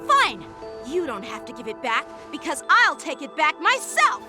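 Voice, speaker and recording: A woman's voice: high-pitched, sing-song, normal speed. A woman playing an earnest, hot-headed young knight in a video game, speaking in an emphatic, formal way. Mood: defiant. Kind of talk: scripted anime-style video game dialogue. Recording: background music under dialogue.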